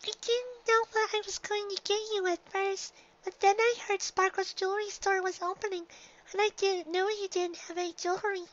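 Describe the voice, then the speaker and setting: Sweet, eager little girl pony, extremely high, childlike voice, dull lo-fi sound. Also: fairly even intonation, moderate pace, a bit bright. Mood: loving, earnest. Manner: childlike, eager, apologetic rambling. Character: sweet earnest little filly unicorn daughter.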